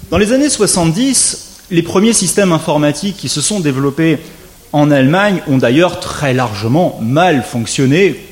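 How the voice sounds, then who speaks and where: A man delivering a formal academic lecture at a conference, his voice sitting a little higher than most men's. He speaks in a measured, orderly way, slipping in dry, wry asides and lifting his pitch to stress key points. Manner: measured, wry asides, lively rising emphasis. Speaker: legal scholar giving a conference talk.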